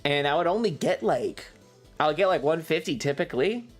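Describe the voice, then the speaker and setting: A streamer rambling casually through a personal story in an exasperated, sweary way, with halting, repetitive phrasing. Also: medium pitch, expressive, soft background music.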